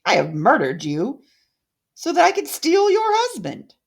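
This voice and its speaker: A woman voicing a cruel, gloating witch villainess in a fairytale, speaking slowly and with menace. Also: sits high, huge pitch swings, vocal fry, pitched above the performer's own voice, exaggerated voice. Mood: vicious triumph. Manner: slow, menacing, cackling. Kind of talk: dramatic fairytale storytelling.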